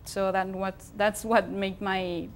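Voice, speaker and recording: A woman's voice: mid-range voice, little pitch movement, slow pace, slight background noise. A young woman from Venezuela, co-hosting a casual TV studio chat, often laughing and restarting her sentences. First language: Spanish. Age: young adult. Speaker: co-host. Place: small TV studio.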